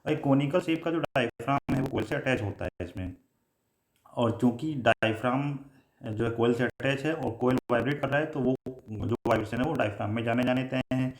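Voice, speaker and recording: A man with an Indian accent giving a technical lecture, explaining in a halting, repetitive way and mixing in English terms. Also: medium pitch. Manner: halting, repetitive explaining with frequent filler phrases. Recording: echoey room.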